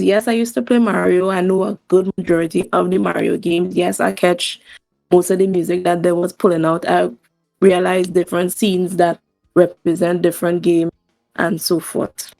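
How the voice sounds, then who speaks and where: A woman's voice: on the low side, creaky, a little mellow, no room sound. A woman with a Trinidadian accent co-hosting a podcast, giving blunt, informal opinions in casual review chat. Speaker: podcast co-host.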